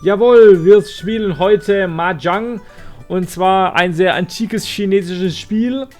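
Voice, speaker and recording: Higher-voiced German male let's player, music behind. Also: slow pace, a little mellow. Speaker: host of a gaming channel. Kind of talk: casual let's play commentary.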